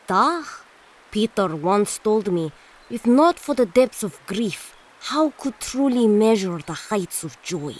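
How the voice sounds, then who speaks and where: A woman as an expressive teenage girl. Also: mid-range voice, slow. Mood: gentle, teasing. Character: earnest, curious teenage Russian mutant girl. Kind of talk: audio drama acting.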